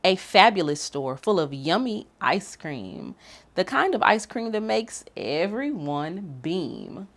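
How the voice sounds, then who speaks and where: A woman reading aloud and hosting for children, expressive and speaking directly to young listeners. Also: on the low side, slow. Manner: animated, addressing young listeners. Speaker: children's story reader and activity host.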